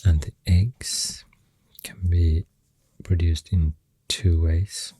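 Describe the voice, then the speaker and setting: A soft-spoken ASMR creator with a Swedish accent, rambling in a breathy, husky near-whisper with hesitant pauses, close to the microphone. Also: sits low, level tone, no room sound. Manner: slow, hesitant, pausing between phrases.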